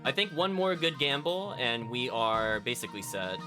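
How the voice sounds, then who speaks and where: A man's voice: mid-range voice, normal speed. A man giving casual live commentary as a video game streamer, thinking aloud and chatting to his viewers. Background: game music.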